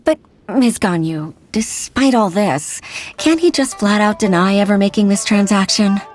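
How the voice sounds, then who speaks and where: An actress voicing an apologetic clerk in a video game, speaking hesitantly. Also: female voice, medium pitch, normal speed, a little mellow, soft background music, natural voice. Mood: worried. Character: apologetic female office clerk.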